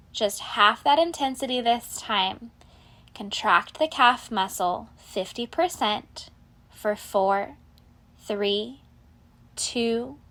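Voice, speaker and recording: Slow, higher-pitched woman guiding relaxation. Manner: calm, measured, soothing instruction with soft phrase endings. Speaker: guided meditation instructor. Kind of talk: guided relaxation narration.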